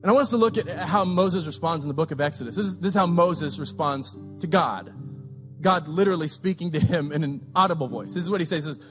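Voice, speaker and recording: A man pastor giving a sermon, preaching with passion, repeating phrases and rising to shouts. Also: fairly high pitch, quick pace, soft background music. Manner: impassioned, repetitive preaching with shouted peaks.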